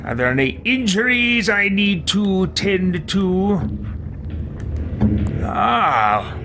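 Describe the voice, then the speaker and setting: A man's voice: sits high, sing-song, very slow, sharp tone, a little hiss or hum, voice pushed up, full character voice. A man voicing an imperious, gleefully evil wizard in a theatrical, gloating manner for a game roleplay. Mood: smug, commanding.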